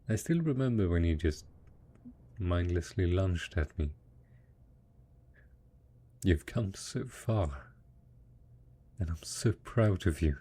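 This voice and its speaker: A man playing a devoted, patient husband in an intimate post-apocalyptic audio roleplay, coaxing slowly and hesitantly in a soft, husky voice. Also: fairly low pitch, heavily acted. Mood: proud, tender. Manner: slow, coaxing, hesitant, talking as if to a child. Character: devoted, patient husband tending zombie spouse after apocalypse.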